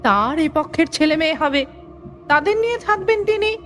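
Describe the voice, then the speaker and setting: A woman playing the sorrowful, determined ghost of a dead mother in a horror audio story, slow, solemn and insistent. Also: sits high, lively intonation, a bit bright, faint music behind. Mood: cold, insistent.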